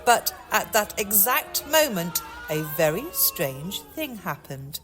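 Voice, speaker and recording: A woman's voice: medium pitch, sing-song, music in the background. Woman reading her novel aloud. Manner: measured storytelling. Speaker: author.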